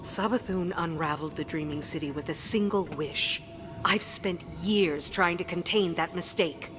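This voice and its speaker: A woman's voice: lowish voice, normal speed, over music, natural voice. A woman playing a stern, hard-bitten soldier loyal to her queen in a video game drama, blunt and commanding. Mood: bitter, threatening.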